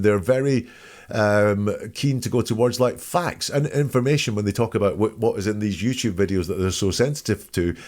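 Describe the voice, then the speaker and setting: Low-voiced man giving blunt commentary. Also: a little mellow. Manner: blunt, opinionated. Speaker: host analysing a recorded call. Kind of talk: informal spoken commentary.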